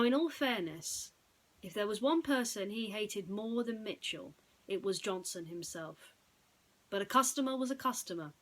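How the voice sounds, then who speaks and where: A woman narrates a bedtime story with a medium-pitched voice. Her telling is dramatic and builds suspense. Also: rough recording.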